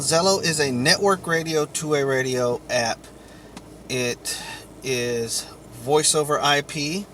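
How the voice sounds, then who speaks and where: A man giving an informal explainer monologue to viewers in a halting, deliberate way, with long pauses. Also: medium pitch, a bit bright, slight background noise. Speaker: vlogger.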